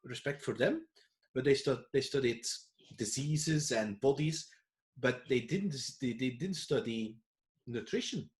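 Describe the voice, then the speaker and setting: A man from Belgium with a Flemish accent, a podcast host speaking in halting English with frequent restarts and questioning tags. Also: mid-range voice, animated. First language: Dutch. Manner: hesitant, halting, tag "eh?" fillers. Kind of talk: conversational interview.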